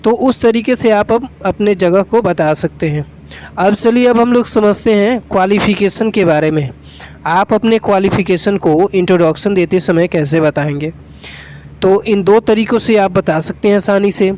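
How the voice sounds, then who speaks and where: A teacher giving a spoken English lesson, slowly explaining each step and repeating example phrases. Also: medium pitch, a little mellow.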